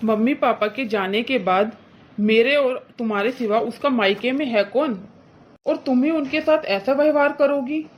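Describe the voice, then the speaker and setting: A woman's voice: a little high, moderate pace, highs cut off, lightly acted. A woman voicing an indignant, protective brother in a cartoon moral story, scolding his wife with insistent, reproachful questions. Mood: earnest, hurt.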